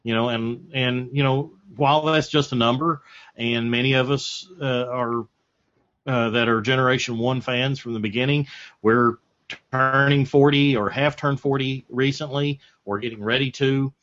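A man's voice: medium pitch, steady tone, normal speed, a bit bright, dull audio. A man of forty co-hosting a casual talk show, chatting reflectively and poking fun at himself. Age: 40s. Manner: reflective, chatty, self-deprecating. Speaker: podcast co-host. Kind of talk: casual panel chat about collecting.

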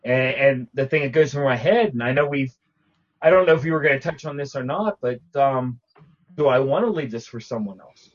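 A man's voice: medium pitch, expressive, a little fast. Male co-host, casual and rambling, restarts often; dull lo-fi recording. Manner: rambling, frequent restarts and fillers. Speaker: podcast co-host. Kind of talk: casual panel chat about collecting.

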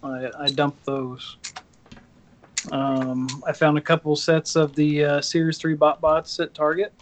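A man's voice: fairly high pitch, little pitch movement, measured pace, slight background noise. A man in casual chat, rambling and hesitant as he shows off toys. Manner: rambling, hesitant, many fillers.

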